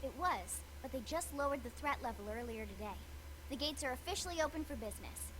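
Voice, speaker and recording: A woman's voice: high-pitched, animated, fast, background noise. A woman voicing a peppy, brash teenage girl recruit with a cocky, slangy manner in a video game. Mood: excited, cocky. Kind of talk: English video game voice acting.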